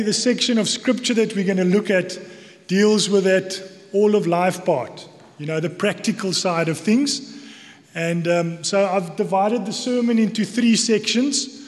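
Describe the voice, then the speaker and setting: A man with a South African accent giving a sermon as a church elder, speaking earnestly in deliberate phrases with repetition for emphasis. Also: high-pitched. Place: church hall. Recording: long reverberant hall echo.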